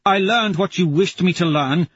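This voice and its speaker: A man's voice: high voice, moderate pace, a bit bright, husky, no echo, lo-fi sound, voice pushed up. A man voicing a cruel, sneering young nobleman who speaks with cold mockery. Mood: bitter. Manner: mocking, cold, scornful.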